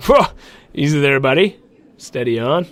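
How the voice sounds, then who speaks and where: An actor playing a cocky, bitter ranger pilot with a colloquial way of talking in an audio drama. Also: male voice, mid-range voice, lively intonation, moderate pace, fairly bright tone, a little hiss or hum, close to an everyday voice. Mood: cocky, friendly. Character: bitter, cocky male ranger pilot narrating his story.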